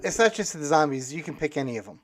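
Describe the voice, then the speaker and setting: A man hosting a casual interview in a halting, conversational way with dry humour. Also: fairly high pitch, expressive. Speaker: interview host.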